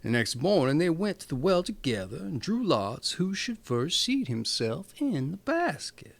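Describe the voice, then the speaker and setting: Animated male fairy-tale storyteller, very dry sound. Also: on the high side, measured pace, gravelly, no room sound. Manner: theatrical, emphatic storybook delivery. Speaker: storyteller reading fairy tales. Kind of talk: expressive scripted fairy-tale narration.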